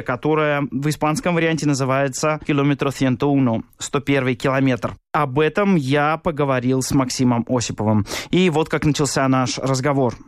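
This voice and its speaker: Measured male radio host. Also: fairly high pitch, clean tone, dry recording, studio quality. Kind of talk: radio presenting and interview.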